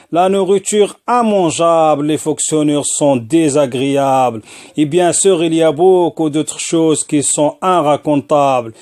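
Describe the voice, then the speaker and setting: Slow-paced man reciting rhymed spoken word. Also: a little high, a little mellow. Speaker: poet, former prisoner.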